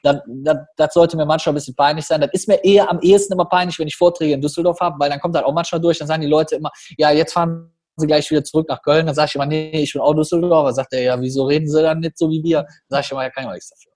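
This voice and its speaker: A man with a Cologne accent, a podcast guest who tells stories animatedly with quick asides. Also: fairly high pitch, fairly even intonation, darkish tone. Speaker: podcast guest, public speaker. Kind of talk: casual podcast conversation.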